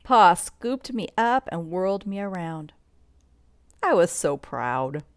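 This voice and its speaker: A woman reading a children's bedtime story aloud in an expressive read-along style, sometimes commenting as she goes. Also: lowish voice, takes it slowly, no echo. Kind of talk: bedtime story read-along narration with asides about pictures.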